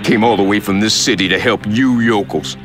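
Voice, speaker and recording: A man playing a gruff, suspicious shopkeeper in a sci-fi drama, talking in a blunt, folksy way. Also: fairly low pitch, fairly quick, close to an everyday voice. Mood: bitter, hostile. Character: gruff, bitter male shopkeeper, suspicious of outsiders. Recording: music under the dialogue.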